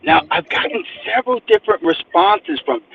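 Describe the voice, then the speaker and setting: Animated, high-pitched male author. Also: normal speed, vocal fry, husky, narrow, tinny audio. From New Jersey. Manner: emphatic storyteller, acts out quotes. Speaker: guest author. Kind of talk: animated interview talk.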